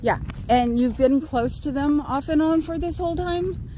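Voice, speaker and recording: A woman with a fairly high voice chats and teases her friend on a walk, laughing often. She is recorded on a phone outdoors. A faint hiss of outdoor noise sits underneath her voice. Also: normal speed, dark tone, poor audio. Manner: chatty, laughs often, teasing. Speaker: vlog host. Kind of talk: casual chat while walking outdoors. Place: outdoors in nature.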